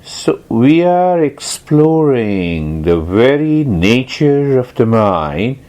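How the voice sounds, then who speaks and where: Man, meditation teacher, very slow pace, deliberate and repetitive instruction, mid-low pitch. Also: very animated, dark tone. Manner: deliberate, repetitive, explanatory with rhetorical questions.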